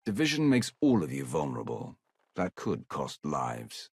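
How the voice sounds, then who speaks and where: An actor playing a curt, commanding dark Sith warrior in a video game, with a gravelly, husky voice. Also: male voice, rumbling low, huge pitch swings, moderate pace, heavily acted. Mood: stern. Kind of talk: video game cutscene acting.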